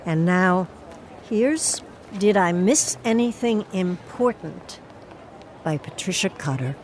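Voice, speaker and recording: A woman's voice: on the low side, animated, takes it slowly, noisy background. A woman hosting an audio theatre programme, reading a scripted introduction. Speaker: host introducing an audio play. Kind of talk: scripted narration.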